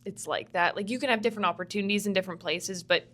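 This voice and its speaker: A young woman from Vancouver talks easily as a podcast guest, her voice sitting at a middle pitch. She is chatty and laughs often, sprinkling "like" through her sentences in a loose, casual interview style. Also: racing, pushed and bright. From Vancouver, Canada. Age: young adult. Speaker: podcast guest, musical theatre student.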